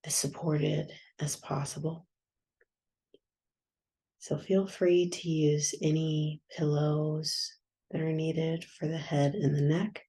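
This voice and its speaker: A woman guiding a yoga nidra meditation in a soft, soothing, unhurried manner with long pauses. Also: sits low. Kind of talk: guided meditation.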